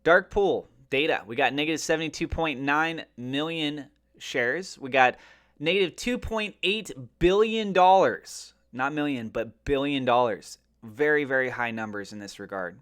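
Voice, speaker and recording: Guy with a kinda higher voice doing casual stock and crypto commentary, emphatic, talks straight to viewers. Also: moderate pace, a bit bright. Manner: emphatic, rhetorical questioning, colloquial. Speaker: finance and crypto video host.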